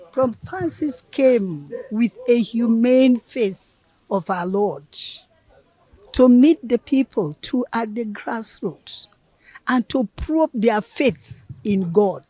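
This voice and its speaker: An older Nigerian woman with a Nigerian English accent, speaking in a slow, earnest way. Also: fairly high pitch, mellow, poor audio. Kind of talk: reflective speech.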